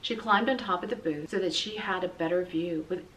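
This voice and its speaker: A middle-aged woman reads a children's story aloud with expressive, dramatic, deliberate emphasis. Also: fairly low pitch. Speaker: school read-aloud reader. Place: small indoor room with bookshelf and blinds. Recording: distant mic, light room noise.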